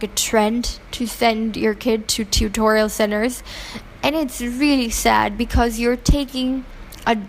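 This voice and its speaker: A woman's voice: on the high side, a little hiss or hum, rough recording. A woman from Pakistan who grew up in Hong Kong, giving earnest, opinionated interview answers with fillers and restarts. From Pakistan, raised in Hong Kong.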